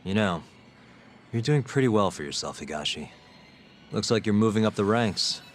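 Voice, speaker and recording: A man's voice: lowish voice, expressive, moderate pace, a little hiss or hum, natural voice. A man playing a composed, wry young fixer in a video game cutscene, speaking casually with a dry, teasing edge. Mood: casual, needling.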